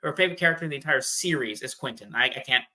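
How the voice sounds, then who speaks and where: A man chatting as a panel guest in a casual fan debate, animated and emphatic. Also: fairly high pitch, racing.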